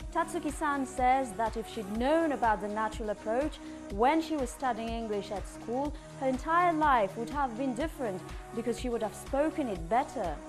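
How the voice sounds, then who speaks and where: A woman reporter reading scripted first-person documentary narration, with instrumental music behind her. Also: fairly high pitch.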